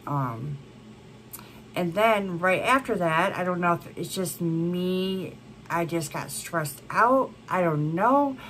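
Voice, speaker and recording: A woman's voice: fairly low pitch, expressive, takes it slowly, a bit bright, slight background noise, poor audio. A woman in her thirties chatting to camera as a beauty vlogger, congested from a cold, rambling with many fillers. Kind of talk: casual chat to camera. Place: home room with a plant wall and neon signs.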